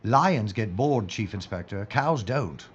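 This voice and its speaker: A man's voice: lowish voice, expressive, takes it slowly, husky, full character voice. A man playing an earnest, dutiful police inspector in a wartime drama, speaking formally and with emphasis. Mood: measured, earnest. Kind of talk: period audio drama acting. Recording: dull, slightly noisy recording.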